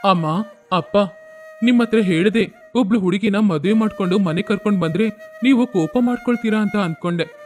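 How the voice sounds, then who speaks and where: An earnest young newlywed son acted by a man in a storybook tale. He sounds grateful and apologetic, high-pitched, with faint music behind. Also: moderate pace, close to an everyday voice.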